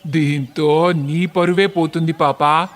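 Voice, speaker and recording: A man's voice: a little high, fairly even intonation, slow pace, a bit bright. A man voicing a lecherous, scheming young village blackmailer in a cartoon moral story, leering and insinuating. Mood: sly, menacing.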